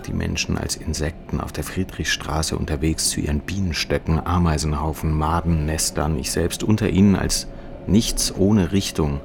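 An actor playing a despairing, sardonic narrator living through depression, speaking an introspective literary monologue in clipped fragments for a radio play. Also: male voice, rumbling low, gravelly, noisy background, full character voice. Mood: weary, bitter. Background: music.

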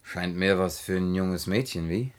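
Male actor voicing a gruff, bashful ex-sailor near forty, film dub. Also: sits low, normal speed, close to an everyday voice. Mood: awkward guest. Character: scruffy gray ex-sailor around forty, gruff. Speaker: dubbing actor.

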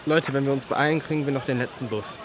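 A woman's voice: a bass voice, fast talker, background noise. A woman playing a sardonic, streetwise teenage friend in a radio drama, speaking in dry, mocking slang. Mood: hurried. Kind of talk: naturalistic German radio drama dialogue.

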